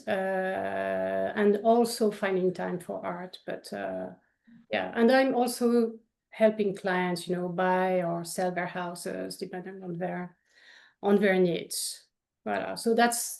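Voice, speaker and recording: A woman with a French accent whose voice has a gravelly, creaky edge, speaking at a middling pitch. She talks in a loose, animated way, full of fillers and restarts. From France. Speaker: artist guest. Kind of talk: casual interview conversation.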